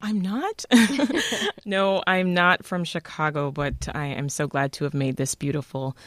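A woman from Jamaica, raised in Canada, telling personal stories in a casual, expressive, emphatic way as an interview guest. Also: on the low side, measured pace, dry recording. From born in Jamaica, raised in Toronto, Canada. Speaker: radio host being interviewed. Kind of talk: casual conversational storytelling.

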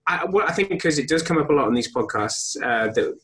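A man co-hosting a casual film podcast, talking animatedly and jumping into high voices to act out scenes. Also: expressive, a little fast, a bit bright. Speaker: podcast co-host.

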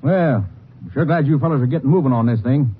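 A man's voice: medium pitch, quick pace, lightly acted. An actor playing a defensive man who insists he is innocent, in a 1950s radio drama. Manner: protesting, insistent. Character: defensive man accused of insurance fraud. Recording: muffled old radio broadcast with hiss.

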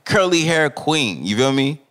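Young male podcast co-host, lively swinging pitch, profane and conversational. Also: measured pace. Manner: animated, profane, repeats "you feel me". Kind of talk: casual chat.

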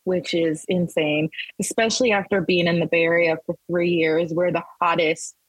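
A woman in her thirties gives a relaxed interview and rambles through long answers, stopping and restarting often. Her voice is low for a woman and slightly husky. The sound is dry and close. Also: normal speed, no room sound, rough recording. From Texas, raised in Las Vegas. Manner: rambling, with many restarts and fillers. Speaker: guest artist. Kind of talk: casual interview conversation.

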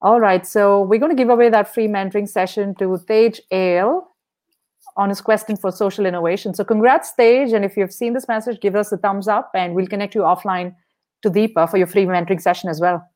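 An upbeat woman host leads a podcast interview at a quick clip. She moves briskly from question to question in a mid-range voice. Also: mid-range voice, steady tone, darkish tone. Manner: upbeat, brisk wrap-up phrases. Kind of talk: interview hosting.

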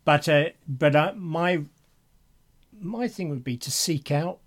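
Male podcast co-host, slightly higher register, earnest and emphatic in thoughtful talk on faith. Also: slow. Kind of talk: reflective conversation about faith and confession.

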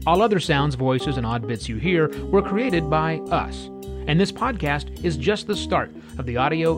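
A man co-hosting a travel podcast, narrating with dry, wry humor. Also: on the high side. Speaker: podcast co-host. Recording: narration over background music. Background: theme music.

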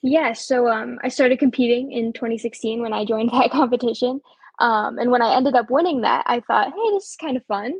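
A teenage girl from Colorado talks through an interview in an upbeat, chatty way, dotting her answers with ums and soft laughs. Her voice sits a little high with a husky, breathy edge. The sound is dry and close. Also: brisk, no echo. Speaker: auctioneer guest. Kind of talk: casual interview chat.

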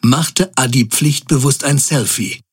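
A man as the narrator of a comedy audio drama, delivering scripted narration in a measured, grandiose, tongue-in-cheek way. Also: medium pitch, slightly rough. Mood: mock-solemn.

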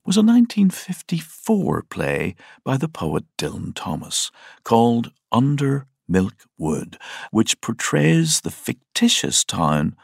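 A man narrates a scripted podcast in a deliberate, explanatory way. His voice is creaky and unhurried, recorded very dry and close. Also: medium pitch, huge pitch swings, no room sound. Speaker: podcast host.

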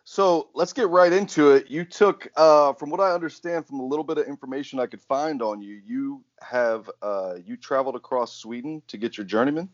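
A man's voice: mid-range voice, a little fast, few pauses. A man hosting a podcast interview, easygoing and teasing, with a lot of "uh". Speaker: podcast host. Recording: slightly muffled audio.

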